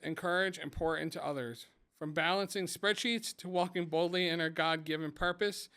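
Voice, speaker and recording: A man with a fairly high, smooth and resonant voice hosts a faith-centered podcast. He delivers an earnest, upbeat introduction and eases into a relaxed interview. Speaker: podcast host.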